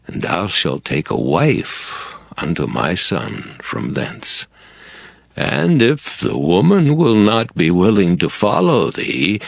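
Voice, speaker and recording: An actor playing an aged, solemn, devout patriarch in a dramatized audio Bible, speaking gravely and haltingly. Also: male voice, on the low side, dry recording, studio quality, heavily acted. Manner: grave, drawn-out, halting delivery.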